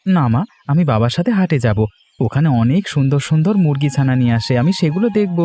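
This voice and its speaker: A performer playing an eager young village boy in an animated moral story, childlike and pleading. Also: mid-range voice, quick pace, close to an everyday voice.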